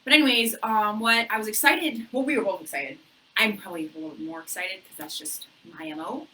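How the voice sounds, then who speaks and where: A woman realtor co-hosting a casual chat from her office, bubbly and chatty with a loud voice that carries, laughing often. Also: medium pitch, fairly quick, distant mic, poor audio. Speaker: realtor co-hosting a local real estate show.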